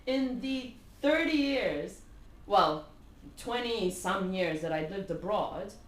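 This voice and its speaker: A woman's voice: medium pitch, takes it slowly. A woman in her thirties from Kuwait with Arabic as her first language, chatting as a podcast guest, telling stories with lots of fillers and frequent laughs. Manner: animated storyteller, laughs often, many fillers. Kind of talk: casual conversation. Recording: echoey room with faint noise.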